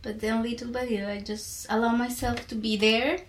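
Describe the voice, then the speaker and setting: Higher-pitched Colombian woman, halting and reflective. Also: little pitch movement, a little hiss or hum. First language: Spanish. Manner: halting, searching for words. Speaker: podcast guest. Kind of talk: reflective conversational interview.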